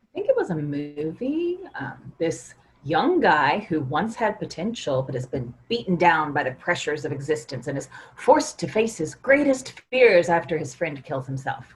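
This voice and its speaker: Woman acting a wry, low-pitched office coworker. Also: sing-song, moderate pace, rough recording. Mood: musing. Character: wry, teasing, perceptive female office coworker. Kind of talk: staged play reading.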